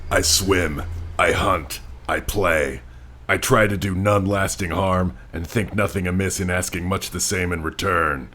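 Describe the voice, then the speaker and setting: A man's voice: sits low, fairly even intonation, a little slow, bright, forceful tone. A man co-hosting a podcast, moving between casual wry comments about books and dramatic read-alouds. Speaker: podcast co-host.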